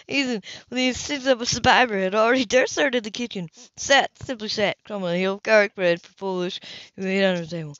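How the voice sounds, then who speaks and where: A woman reads a romance novel aloud in a mid-pitched voice, as an amateur would, tripping over the odd word. The recording is dry, dull and lo-fi. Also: a bit bright, dry recording. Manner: stumbles over and repeats words. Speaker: amateur audiobook reader.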